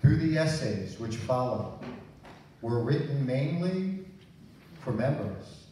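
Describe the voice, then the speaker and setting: An older man with a mellow voice reads aloud slowly and carefully at a church service. The sound is very echoey and a little distant. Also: mid-range voice, very animated. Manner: measured, careful reading. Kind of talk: reading aloud from printed text. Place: small church sanctuary. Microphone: handheld microphone, filmed from audience. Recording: echoey hall, distant mic, faint noise.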